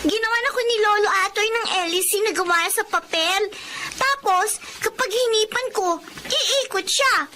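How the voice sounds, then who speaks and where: A woman's voice: very high voice, moderate pace, pushed and bright, a little hiss or hum. An actress voicing a curious, cheerful little girl in a radio drama, chirpy and polite. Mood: eager.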